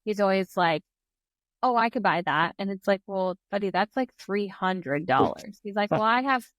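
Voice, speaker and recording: A woman co-hosting a podcast, chatty and lively when telling stories about her son. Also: medium pitch, normal speed, no room sound. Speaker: co-host. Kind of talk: casual interview chat.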